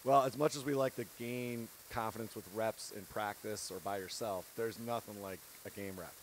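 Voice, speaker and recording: A man's voice: on the low side, steady tone, normal speed, a little hiss or hum, poor audio. A man in his thirties, a head basketball coach, giving an earnest, candid post-game interview on a handheld mic. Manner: earnest, candid, laughs between points. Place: courtside set in an empty arena.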